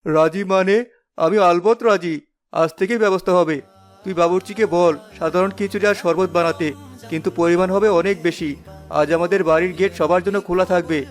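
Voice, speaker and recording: A man playing a kindly middle-aged father in a cartoon story, speaking in a measured, earnest way. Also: sits high, fairly even intonation, close to an everyday voice. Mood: moved, approving. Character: wealthy, kindly father of a young girl. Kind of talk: scripted cartoon dialogue. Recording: music behind the voice.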